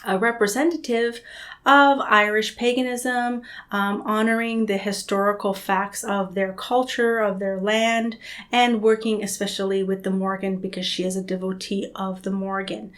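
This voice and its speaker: A woman of ordinary middle pitch talks casually as the host of her own channel. She is chatty and loose, and fills her sentences with ums and little asides. Her delivery is relaxed and conversational. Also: normal speed. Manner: chatty, with frequent ums and filler phrases. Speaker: host of a spiritual book channel. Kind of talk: casual chat to camera.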